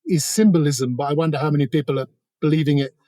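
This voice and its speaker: Bright-voiced man, informal expert guest. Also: fairly high pitch, steady tone, quick pace, no echo. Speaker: interview guest, author on North Korea. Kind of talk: informal analytical discussion.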